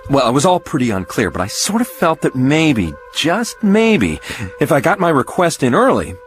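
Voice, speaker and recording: A man's voice: fairly high pitch, wildly swooping pitch, normal speed, faint music behind. An actor playing an enthusiastic father in a radio drama, eager, reassuring and persuasive. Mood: proud, tentative. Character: enthusiastic, persuasive father sold on a new gadget.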